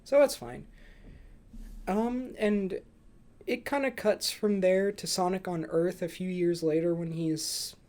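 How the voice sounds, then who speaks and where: A man's voice: high-pitched, steady tone, slow pace, darkish tone. A young man hosting a casual movie review podcast, chatting with dry, flippant humour. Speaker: podcast host.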